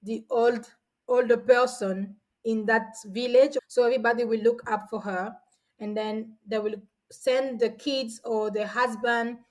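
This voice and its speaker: A woman's voice: a little high, fairly even intonation, unhurried, rough recording. A woman in her forties from São Tomé and Príncipe, a guest telling stories in a chatty way with frequent restarts. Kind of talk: casual storytelling.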